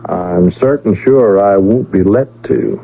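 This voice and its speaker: An actor playing a cheeky traveling peddler in a radio western, with a folksy, teasing American drawl. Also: male voice, low-pitched, slow pace, gravelly, heavily acted. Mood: wry, sardonic. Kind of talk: 1970s radio western acting. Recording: noisy, tinny old radio broadcast.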